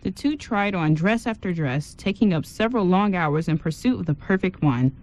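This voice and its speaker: A woman's voice: fairly low pitch, lively intonation, moderate pace, darkish tone, rough recording. A woman reading scripted narration as the storyteller of an amateur radio drama.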